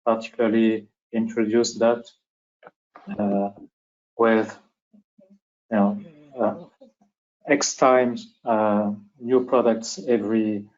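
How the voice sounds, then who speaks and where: A man with a French accent presenting a business webinar as moderator, speaking haltingly with repeated words, on dull webinar audio. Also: lowish voice, level tone, a little mellow. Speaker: webinar moderator and company executive.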